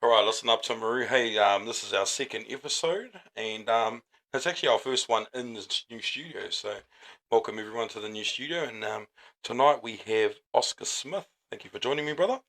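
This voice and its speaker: A New man hosting a casual podcast interview in a small studio, talking in a relaxed, laid-back way with plenty of fillers. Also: medium pitch, a little fast. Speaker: podcast host.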